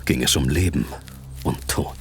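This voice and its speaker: A man's voice: extremely low pitch, normal speed, gravelly, over music. A man narrating a tense fantasy adventure audiobook in a dramatic, scripted style.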